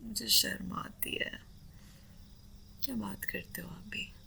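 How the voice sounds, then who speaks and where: A woman playing a shy, playful, affectionate wife in a romantic roleplay ASMR, speaking in a coy, breathy, drawn-out whisper. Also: mid-range voice, steady tone, sharp tone, heavily acted, rough recording.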